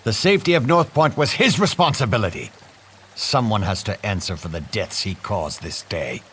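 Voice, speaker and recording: A man's voice: fairly low pitch, expressive, normal speed, a bit bright, a little hiss or hum. Stern, commanding noble father. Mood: heavy-hearted. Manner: commanding, formal. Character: stern, proud noble father and ruler, dutiful. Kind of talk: fantasy video game voice acting.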